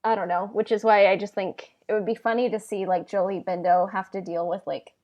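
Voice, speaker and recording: A woman co-hosting a podcast in casual, halting chat peppered with filler words. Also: medium pitch, steady tone, darkish tone. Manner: halting, heavy use of "like". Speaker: podcast co-host. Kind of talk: casual chat about game lore.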